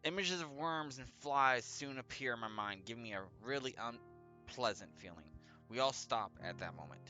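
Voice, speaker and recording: Bright-voiced man reading a game aloud. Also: medium pitch, lively intonation, normal speed. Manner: stumbles over read text and corrects himself. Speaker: let's play host. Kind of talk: reading a visual novel aloud with casual gaming commentary. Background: background music.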